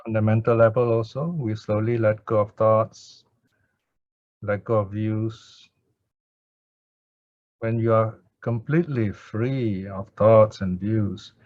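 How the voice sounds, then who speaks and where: Guy leading meditation, really slow n measured, lots of pauses, close dry sound, kinda lo-fi. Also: on the low side, no room sound. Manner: measured short phrases with many pauses. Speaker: meditation teacher. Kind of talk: slow guided meditation instruction and Pali chanting. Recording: dull lo-fi audio.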